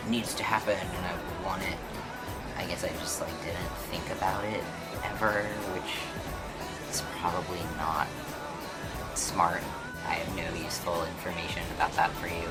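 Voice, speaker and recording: A young man chatting casually to his camera at home, keeping his voice hushed and half-whispered, with music playing behind. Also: low voice. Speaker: vlogger. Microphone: camera mic on a shaky setup.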